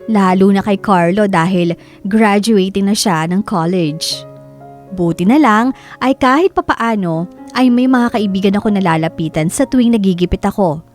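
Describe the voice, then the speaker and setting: A woman's voice: mid-range voice, darkish tone, close to an everyday voice. A woman playing an overworked breadwinner in her thirties, earnestly narrating her own life in a scripted drama. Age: 30s. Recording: music under the voice.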